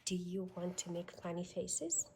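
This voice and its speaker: A woman reading a children's storybook aloud with expressive, sing-song delivery aimed at young children. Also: on the low side, moderate pace, noisy background. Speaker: storybook reader.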